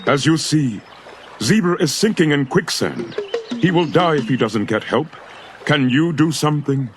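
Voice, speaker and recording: A man's voice: a little high, lively intonation, normal speed, over music, voice pushed up. A man voicing a wise, commanding great-grandfather king of the animals in a storybook, speaking formally and powerfully. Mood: grave appeal.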